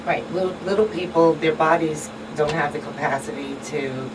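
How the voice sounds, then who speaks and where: A woman's voice: sits low, normal speed. A woman co-hosting a radio talk show, discussing in a small studio. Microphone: studio microphone on boom arm. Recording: distant mic, loud air-conditioning hum.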